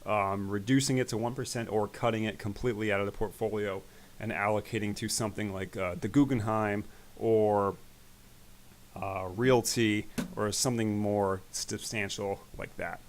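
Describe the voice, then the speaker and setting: Man talking through stocks, low-pitched. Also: moderate pace. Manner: relaxed, rambling, with fillers. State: voice getting raspy. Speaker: investing channel host. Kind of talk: casual screen-share stock commentary.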